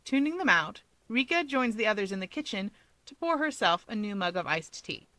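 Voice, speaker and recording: A woman reading scripted fiction aloud as an expressive story narrator. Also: medium pitch, normal speed, no echo.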